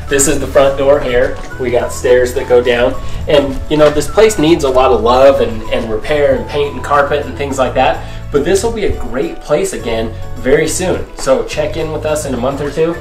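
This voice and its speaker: A man in his forties casually showing viewers around a house, talking in a relaxed, plain-spoken way. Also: mid-range voice, moderate pace. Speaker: home buyer giving a house tour. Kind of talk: casual walkthrough vlog. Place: outdoors by a house, then inside empty rooms. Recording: echoey rooms, distant mic, music underneath.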